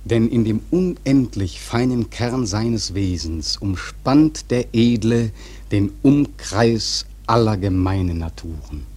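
A man narrating a literary radio drama in a measured, expressive storytelling manner. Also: fairly low pitch.

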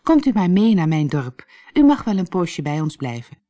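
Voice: mid-range voice, big pitch swings, moderate pace, dark tone, faint music behind, close to an everyday voice. A storyteller voicing a kind, friendly young village girl who speaks plainly, in a storybook reading. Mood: kindly, soothing. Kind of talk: storybook character voice.

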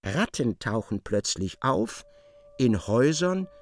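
A man's voice: medium pitch, very animated, slow, a little mellow. A man narrating a literary radio drama in a scripted, storytelling style. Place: dry studio.

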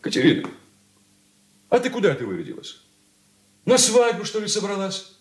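Haughty grown son, swoopy peevish voice, old soviet radio play, echoey room. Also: male voice, sits high, wildly swooping pitch, normal speed. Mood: irritated. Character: irritable, domineering grown son, pompous and petty.

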